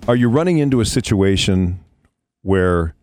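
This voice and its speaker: Older radio host guy, lowish voice, really animated, casual morning chat, punches his words. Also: moderate pace, dry recording, clean studio sound, faint music behind.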